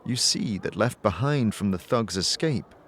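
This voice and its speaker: A man's voice: fairly low pitch, animated, unhurried, fairly bright tone, a little hiss or hum. A man narrating a fantasy story to the listener as game master, in a scripted, dramatic style. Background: background music.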